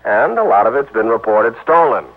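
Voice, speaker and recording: A man's voice: medium pitch, sing-song, a little fast, narrow, tinny audio. An American actor playing a chummy, grumbling, folksy married insurance man in a 1950s radio drama. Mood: confiding, grumbling. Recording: old band-limited radio broadcast recording.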